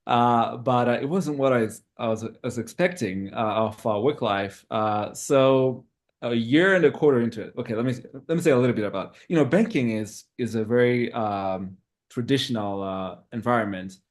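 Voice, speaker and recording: A man from Zimbabwe in his thirties, a podcast guest who talks in a hesitant, reflective way with many pauses. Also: medium pitch. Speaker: interview guest. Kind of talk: casual interview talk.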